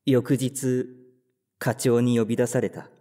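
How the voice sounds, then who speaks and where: A man's voice: mid-range voice, level tone, slow pace, warm tone, dry recording, clean studio sound, lightly acted. An actor playing a timid, overthinking young male office worker who stammers when flustered and also narrates the story. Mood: earnest. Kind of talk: first-person narration and dialogue.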